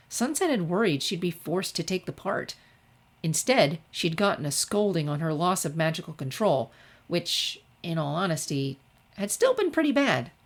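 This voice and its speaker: A woman narrator reading a scripted fan-fiction story expressively. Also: lowish voice.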